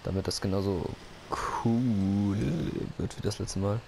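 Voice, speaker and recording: A man chats loosely over his gameplay, rambling and joking to himself. His voice is low with a gravelly fry. Steady noise runs under the recording. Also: a little slow. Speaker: gaming YouTuber. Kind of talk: casual let's-play commentary.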